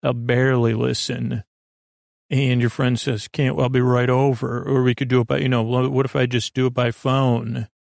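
A man with a creaky voice hosting a bedtime podcast in a gentle, meandering conversational way, reassuring and often interrupting himself with tangents. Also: mid-range voice, flat delivery, normal speed, no echo, clean studio sound. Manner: meandering, hesitant, full of tangents and self-interruptions. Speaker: podcast host.

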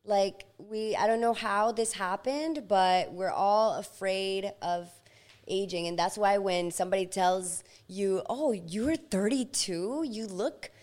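A woman in her thirties, a podcast guest, speaking reflectively and at times slowly as she thinks aloud. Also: mid-range voice, a little mellow. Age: 30s. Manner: reflective, slow and thoughtful at times. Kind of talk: casual interview chat.

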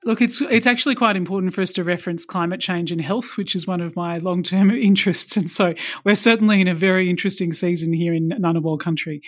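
A woman's voice: fairly low pitch, fairly even intonation, fast talker, hardly any pauses, no echo, clean studio sound. A woman hosting a panel discussion in a formal moderating style. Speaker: panel host.

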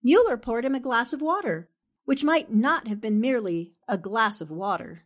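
A woman playing a brisk, determined newspaperwoman sleuth in a 1940s-style radio drama, with a commanding manner. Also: a little high, expressive. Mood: thoughtful. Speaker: actor in an amateur radio drama re-creation.

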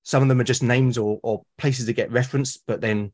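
A man with a British accent, a podcast guest talking casually and at length, often pausing to rephrase his thoughts. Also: mid-range voice, fairly even intonation, darkish tone. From Britain. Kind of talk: casual conversation about solo roleplaying.

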